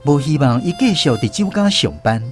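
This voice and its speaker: A man's voice: a little high, big pitch swings, normal speed, soft background music. A man with a Taiwanese accent narrating a first-person story expressively for radio drama.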